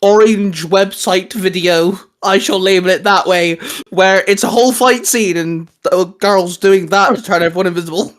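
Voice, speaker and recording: A man's voice: sits high, moderate pace, fairly bright tone. A man co-hosting a casual, geeky group discussion, jokey and informal, often probing with questions. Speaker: co-host of a fan discussion show.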